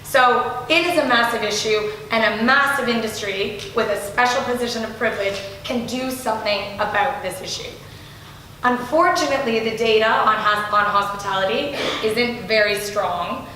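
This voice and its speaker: A slightly hoarse woman speaking from a foundation at a live event, talking enthusiastically to a room and restarting phrases as she goes. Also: a little high, normal speed, bright, forceful tone. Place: large room, audience present. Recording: very echoey room, faint noise.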